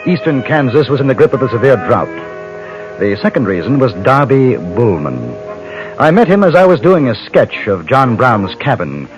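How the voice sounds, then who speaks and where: A man playing a polite, reserved reporter in the frontier West, speaking with dry, measured courtesy. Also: medium pitch, sing-song, natural voice. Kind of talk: 1950s radio drama narration and dialogue. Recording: dull old radio recording, music behind.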